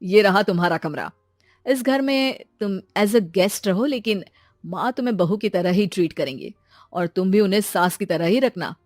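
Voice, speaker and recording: A woman voicing an earnest, reasonable young man in an animated family story. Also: mid-range voice, moderate pace, dry recording, studio quality, lightly acted. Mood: welcoming.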